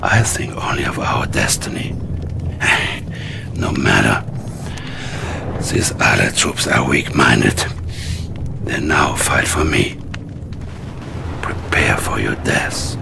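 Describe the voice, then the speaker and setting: An actor playing a sinister, megalomaniac Soviet traitor with a Russian accent, speaking in a theatrical, menacing, half-whispered way in a video game cutscene. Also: male voice, rumbling low, expressive, slow, gravelly, long pauses, background noise, full character voice. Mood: cold menace.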